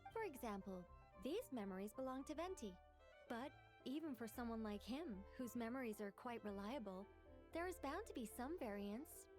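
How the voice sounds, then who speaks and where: A woman's voice: on the high side, expressive, normal speed, music in the background, natural voice. A woman voicing an earnest, scholarly young female magician in a video game, formal and precise as she explains. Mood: explanatory.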